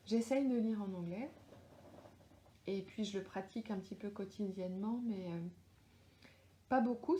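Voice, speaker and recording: A woman's voice: medium pitch, mellow, roomy sound. Middle-aged French woman, hesitant chat. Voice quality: hesitant, many fillers and restarts. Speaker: author answering readers live. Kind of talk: casual live Q&A chat. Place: home, lives in Australia.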